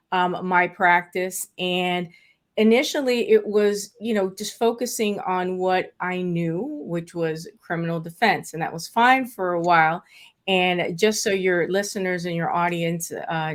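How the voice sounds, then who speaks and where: Earnest middle-aged woman guest. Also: lowish voice. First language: Spanish. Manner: earnest, reflective, many fillers. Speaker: estate planning attorney, guest. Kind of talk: conversational interview answers.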